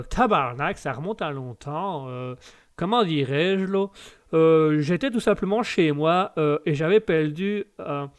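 A man's voice: fairly high pitch, smooth. A man putting on a drawling Quebec-accented Canadian guy. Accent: Quebec French, put on. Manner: drawling, tags sentences with "là", frequent oaths. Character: folksy Canadian man, self-taught gardener, cheerfully swearing. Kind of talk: comic improvised sketch.

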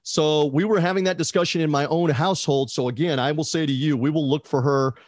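A man's voice: a little high, fairly even intonation, brisk, dry recording, studio quality. A man hosting a casual sports talk show, making his points emphatically and repeating them for stress. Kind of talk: casual podcast debate.

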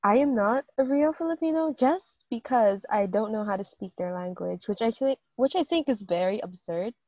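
Lively young woman from Hong Kong, muffled audio. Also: mid-range voice, normal speed, no room sound. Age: late teens. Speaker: co-host, sister. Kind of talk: casual chat.

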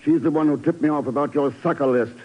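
A man's voice: on the high side, quick pace, close to an everyday voice. An actor playing a cool, authoritative male secret agent in a 1950s radio drama, with a dull vintage broadcast sound.

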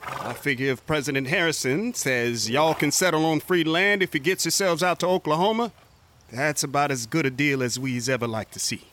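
An actor playing a friendly, frank homesteader from 1880s Arkansas in a radio drama, with an easygoing Southern American accent. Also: male voice, on the high side, normal speed, fairly bright tone, a little hiss or hum, close to an everyday voice.

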